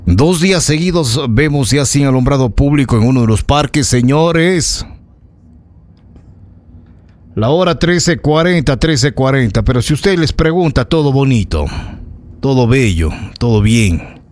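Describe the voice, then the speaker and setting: A man's voice: mid-range voice, lively intonation, moderate pace, darkish tone. A man with an Ecuadorian accent hosting a local radio programme, giving indignant, emphatic commentary to listeners and repeating phrases for effect. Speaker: local radio news host. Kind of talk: live opinionated radio commentary, addressing listeners.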